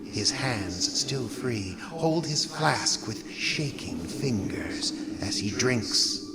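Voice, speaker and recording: An actor playing a brooding horror host, narrating an audio drama in a wry, ominous, theatrical manner. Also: male voice, medium pitch, expressive, very slow, very noisy. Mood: grim suspense.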